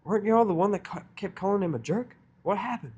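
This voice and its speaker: A man's voice: high voice, expressive, quick pace, darkish tone, husky, muffled recording, pitched above the performer's own voice, exaggerated voice. A man voicing a plain-spoken, skeptical female farm pony who talks in folksy country dialect. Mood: shocked, curious.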